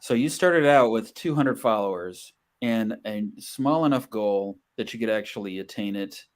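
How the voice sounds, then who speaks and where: A man's voice: on the low side, animated, moderate pace. A man co-hosting a casual interview, building up a question for the guest. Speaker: co-host interviewer.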